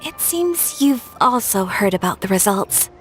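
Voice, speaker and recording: An actress playing a gracious young noblewoman in an anime game, speaking calmly, formally and politely. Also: mid-range voice, unhurried, soft background music. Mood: calm, reassuring.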